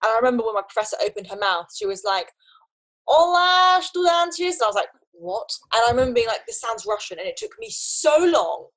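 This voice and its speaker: A young woman in casual conversation, animated, often restarting her sentences and laughing between thoughts. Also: a little high, a little fast, poor audio. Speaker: guest.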